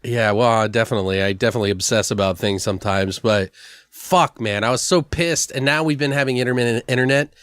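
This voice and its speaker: A man's voice: medium pitch, fast, a bit bright, clean tone, no room sound, studio quality. A man hosting a podcast in casual chat, telling rambling stories and swearing freely. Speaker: podcast host.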